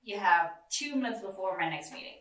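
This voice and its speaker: A woman giving brisk, matter-of-fact short answers in an office interview. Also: mid-range voice, animated, a bit bright. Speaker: office employee being interviewed. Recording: echoey room, distant mic.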